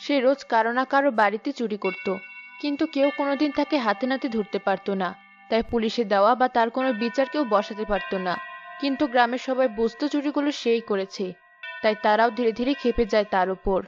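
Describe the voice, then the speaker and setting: Fairly high-voiced woman narrating a story. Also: fast talker, highs cut off, faint music behind.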